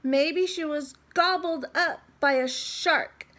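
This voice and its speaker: A woman voicing worried, eager schoolchildren in a storybook, with an excitable, earnest manner. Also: sits high, slow pace, voice pushed up. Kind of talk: children's storybook read-aloud.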